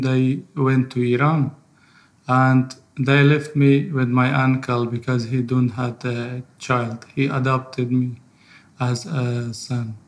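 A man in his thirties from Afghanistan with an Afghan accent and Farsi as his first language, telling his own story in halting English. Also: mid-range voice. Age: 30s. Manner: halting, non-fluent English. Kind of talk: personal spoken testimony.

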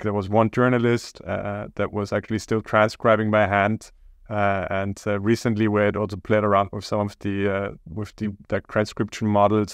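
A man from Austria, a startup co-founder talking as a podcast guest in a hesitant, halting way. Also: lowish voice, smooth, dry recording, studio quality. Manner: hesitant, halting, many pauses. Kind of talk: casual interview talk.

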